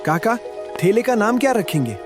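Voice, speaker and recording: A man playing a clever, earnest young prince in an animated story, with dramatic delivery. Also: high-pitched, normal speed, over music. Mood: curious. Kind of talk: animated moral story acting.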